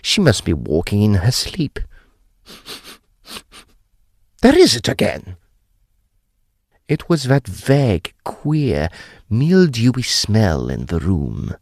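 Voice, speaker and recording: An actor playing a polite, uneasy adult son who recounts a haunting in formal, old-fashioned, expressive storytelling for an audio drama. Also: male voice, lowish voice, takes it slowly, vocal fry, dry recording, studio quality, exaggerated voice.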